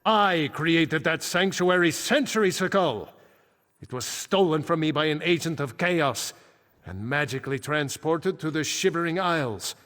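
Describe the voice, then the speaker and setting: An actor playing a solemn ancient archmage back from death, speaking gravely and formally in fantasy video game dialogue. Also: male voice, a little high, measured pace, fairly bright tone. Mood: solemn, urgent. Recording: echoing game hall with long reverb.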